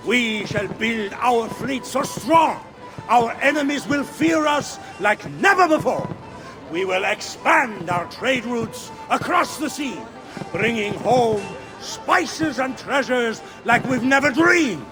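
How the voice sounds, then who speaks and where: An actor playing a pompous Venetian ruler with an Italian accent, giving a grandiose theatrical speech. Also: male voice, very high voice, animated, takes it slowly, heavily acted. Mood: rousing. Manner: grandiose, declamatory. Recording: loud music behind the voice.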